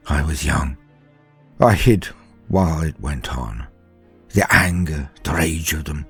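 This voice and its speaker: A man playing a frightened, haunted far-future soldier, telling his horror story in a dramatic first-person monologue with brooding pauses and shifts from hushed to emphatic. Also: deep voice, husky, full character voice. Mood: bitter, haunted. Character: frightened, haunted far-future soldier, former factory worker, recalling terrors.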